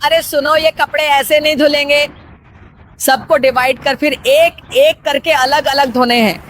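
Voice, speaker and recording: A woman's voice: high-pitched, fairly even intonation, a little slow, a bit bright, slight background noise. A woman voicing a bossy, nagging middle-aged mother-in-law who scolds and gives orders in a cartoon story. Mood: impatient, scolding. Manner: scolding, commanding. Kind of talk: cartoon moral story dialogue.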